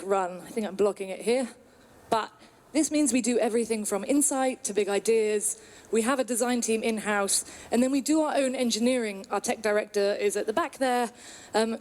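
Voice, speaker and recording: A British woman in her thirties, from the UK, giving an upbeat presentation at a trade conference with some filler pauses. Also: fairly high pitch, darkish tone. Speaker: conference speaker. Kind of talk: presentation talk.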